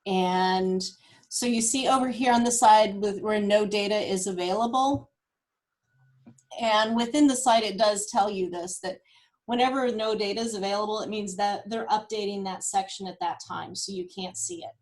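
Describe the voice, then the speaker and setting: A woman giving an informal walkthrough of data, thinking aloud as she goes in a halting way. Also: mid-range voice. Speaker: guest presenter explaining data; cancer research nurse.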